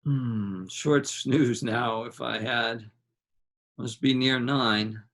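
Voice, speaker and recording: A man reading a literary novel aloud in a measured, dramatic way, with deliberate pauses between short phrases. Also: mid-range voice, fairly even intonation, a bit bright.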